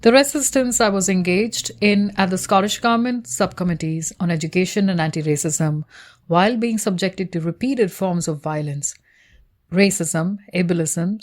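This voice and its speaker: A woman whose first language is Tamil, reading her academic thesis aloud in a measured way, citations and page numbers included. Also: fairly low pitch. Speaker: researcher explaining her thesis.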